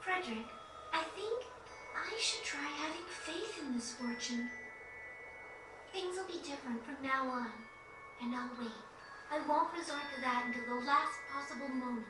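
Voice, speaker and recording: Very high-pitched earnest girl. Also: lively intonation, normal speed, music in the background. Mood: resolute. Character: earnest, gentle, self-sacrificing young girl. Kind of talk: video game cutscene acting. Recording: echoey, distant, noisy game capture.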